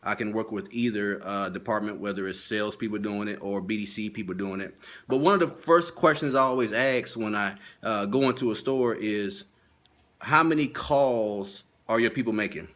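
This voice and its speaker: A man's voice: lowish voice, moderate pace, a bit bright. A man speaking as a sales training consultant in an informal video lesson, stressing and repeating his key points. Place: at home.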